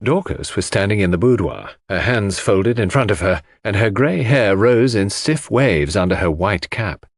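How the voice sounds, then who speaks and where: Male audiobook narrator for mystery fiction: dramatic scripted read, expressive pitch movement, dry close sound. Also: moderate pace, few pauses, no room sound.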